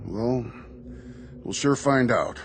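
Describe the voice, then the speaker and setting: An actor playing an earnest, deferential naval lieutenant in a radio drama, puzzled and eager to help. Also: male voice, medium pitch, lively intonation, slow, over music. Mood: puzzled, anxious.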